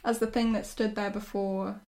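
A woman talking casually and reflectively as a writer discussing her work, often halting as she thinks aloud. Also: medium pitch, little pitch movement, a little mellow. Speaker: writer discussing her own storytelling project.

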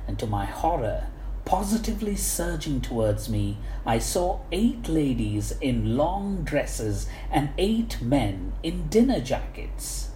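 Very low-voiced woman reading, roomy echo. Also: dark tone. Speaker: guest reader of book excerpts. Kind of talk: expressive reading of a humorous first-person anecdote. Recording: roomy echo, faint background noise.

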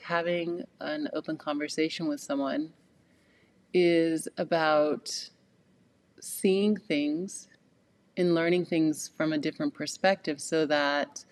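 A woman co-hosting a podcast in casual, chatty conversation, lying on the floor of a yoga studio. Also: low voice. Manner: chatty, laughs often, fillers. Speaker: podcast co-host.

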